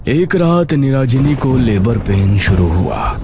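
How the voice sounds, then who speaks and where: A man's voice: medium pitch, very animated, takes it slowly, background noise. A man narrating a scripted horror story, with music playing behind him.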